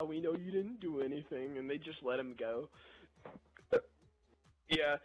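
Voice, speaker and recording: A young man with a fairly high, husky voice rambles through a story over gameplay. He is animated and slangy, talking casually as if to friends. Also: rough recording. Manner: animated, slangy, chuckling delivery. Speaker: gameplay video narrator.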